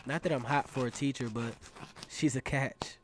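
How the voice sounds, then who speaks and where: A man's voice: medium pitch, fairly even intonation, normal speed, a little hiss or hum, lightly acted. An actor playing a cocky, dreamy teenage circus acrobat who narrates his own story in a casual, self-assured way for a radio drama. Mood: dreamy, admiring. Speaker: narrator and lead character. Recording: music playing behind the voice. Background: instrumental music.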